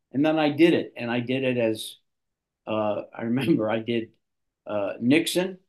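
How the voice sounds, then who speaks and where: An older man, a guest actor, telling long stories in an interview with lively restarts. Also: medium pitch. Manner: animated storyteller, restarts a lot. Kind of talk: storytelling in an interview.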